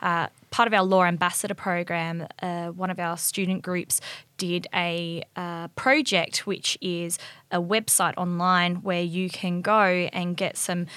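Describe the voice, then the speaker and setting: A woman's voice: on the low side, little pitch movement, unhurried, fairly bright tone, clean tone, no echo. Upbeat woman podcast host. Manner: upbeat, encouraging, uses fillers. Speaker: university law faculty host. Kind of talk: podcast interview, casual chat.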